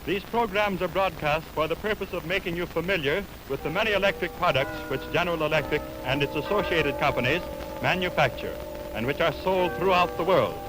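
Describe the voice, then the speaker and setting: An old 1920s radio aircheck of a male announcer reading a script formally. His voice sits a little high, and music is clearly present behind it. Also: a little fast, low-quality recording.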